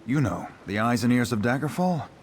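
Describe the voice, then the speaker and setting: An actor playing a guarded, brusque town grocer in a fantasy video game. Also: male voice, mid-range voice, lively intonation, brisk, lightly acted. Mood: wary. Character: wary, irritable male town grocer.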